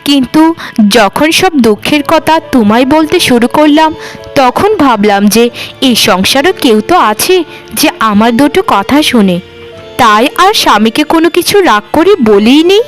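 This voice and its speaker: A woman's voice: high voice, lively intonation, normal speed, faint music behind. A woman voicing a weary, aggrieved village sister-in-law in a melodramatic cartoon, complaining plaintively. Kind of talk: cartoon voice acting, melodramatic dialogue.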